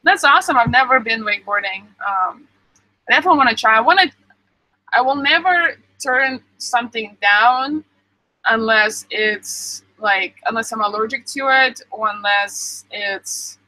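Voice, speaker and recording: Casual young female streamer, upbeat and talkative, crisp bright voice, dry close mic. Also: a little high, normal speed, no room sound, rough recording. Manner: chatty, answers viewer comments with many ums. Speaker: vlogger reading live chat. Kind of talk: casual live stream chat.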